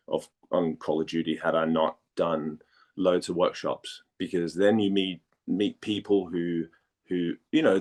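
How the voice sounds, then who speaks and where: Low-voiced man from Denmark, chatting casually. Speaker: interview guest, voice actor and former model. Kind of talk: casual conversational interview.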